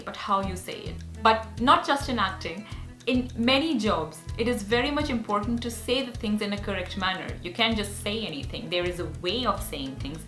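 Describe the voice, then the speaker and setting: Bright, upbeat Hindi-accented woman. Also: medium pitch. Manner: upbeat, earnest explaining. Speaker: actor and speech coach. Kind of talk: casual teaching vlog. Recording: echoey room with faint music.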